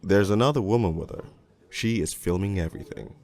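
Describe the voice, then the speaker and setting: A man playing a crude, cynical police detective, narrating a crime storybook in the first person in a gravelly, world-weary, deliberate way. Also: low voice, a little mellow. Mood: wry, sardonic. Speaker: narrator and lead voice actor in an animated storybook.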